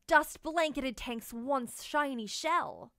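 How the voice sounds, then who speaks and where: A woman narrating a children's picture book in an expressive storytelling manner. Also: sits high, slow pace, vocal fry, no echo, studio quality. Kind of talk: children's read-aloud narration.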